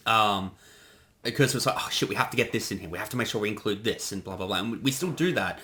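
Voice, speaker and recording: Male director, lowish bright voice, casual commentary chat. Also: expressive, fast. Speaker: director giving creator commentary.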